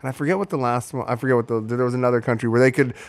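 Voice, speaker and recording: A man's voice: mid-range voice, fairly even intonation, rapid-fire, no room sound. A man who grew up in Ukraine, with Russian as his first language, chatting casually on a podcast in a small studio. He rambles, swears often and restarts his sentences. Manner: rambling, profane, many restarts. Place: small studio with red walls. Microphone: studio mic on boom arm.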